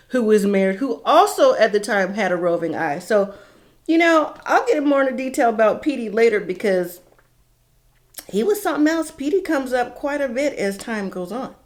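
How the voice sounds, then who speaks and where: A middle-aged woman telling a personal story casually and candidly, in a conversational way with dramatic pauses. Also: medium pitch.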